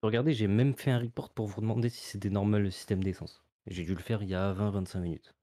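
Mellow, low-voiced man chatting. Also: fairly even intonation, fast talker. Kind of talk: casual gaming chat. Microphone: close, dry voice-chat mic.

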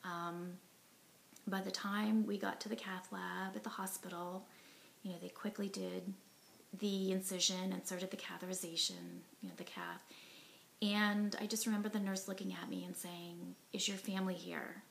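Woman in her 40s talking to her camera at home, kinda low and gravelly, soft and breathy a lot, earnest, light hiss. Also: moderate pace, low-quality recording. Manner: earnest and reflective, often soft and breathy, with frequent ums and you knows. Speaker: heart attack survivor telling her story. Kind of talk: personal testimony to camera. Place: living room at home, indoors. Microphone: device camera at arm's length, sitting close.

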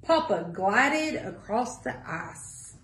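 A middle-aged woman reading a picture book aloud to young children in a deliberate sing-song way. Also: medium pitch, big pitch swings, a bit bright. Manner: sing-song, deliberate, asks listeners questions. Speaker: library story time reader. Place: small indoor room with block walls. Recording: echoey small room.

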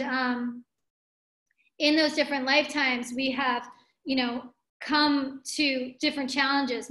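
A woman's voice: sits high, fairly bright tone. A woman in her forties giving a spiritual webinar from her living room over a webcam, thinking aloud and gesturing as she speaks. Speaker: spiritual teacher. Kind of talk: webinar talk with viewers. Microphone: webcam on video call. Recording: roomy webcam audio.

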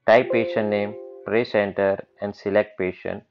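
Deep-voiced guy doing a screen demo, flat instructional tone, muffled cheap mic. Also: music in the background. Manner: plain instructional, slow and matter-of-fact. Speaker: software demonstrator. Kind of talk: screen-recorded software walkthrough.

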